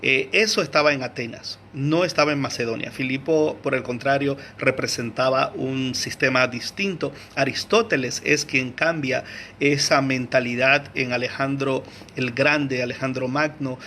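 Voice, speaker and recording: Bright-voiced man lecturing with many fillers. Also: medium pitch, fairly even intonation, moderate pace, slight background noise. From Venezuela. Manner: hesitant, many fillers and restarts, searches for words; clears his throat often. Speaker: teacher. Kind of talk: unscripted history lecture.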